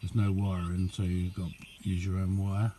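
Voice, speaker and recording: Flat, low-key man, casually rambling. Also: moderate pace, a little hiss or hum. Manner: rambling, understated. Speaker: hobbyist demonstrating a homemade radio. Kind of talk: casual chat, unscripted show-and-tell.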